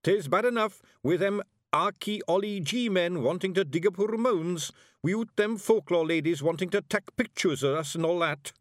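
A man voicing a fierce, blustering tiny fairy warrior chief, loud and gruff. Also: sits high, normal speed, dry recording, clean studio sound, pitched above the performer's own voice, close to an everyday voice. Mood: gruff pride.

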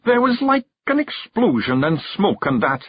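A man voicing a tiny, brash, excitable fairy warrior with a Scottish accent and blunt, emphatic dialect talk, in a storybook. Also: high-pitched, moderate pace, breathy edge, dry recording, pitched above the performer's own voice, full character voice. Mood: matter-of-fact. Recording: dull, lo-fi recording.

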